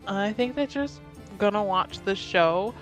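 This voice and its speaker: An unhurried woman talks casually as a player in a tabletop roleplaying game. She slips between narration and banter in an informal, joking way, often with a laugh. Soft music plays behind her. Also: mid-range voice, expressive.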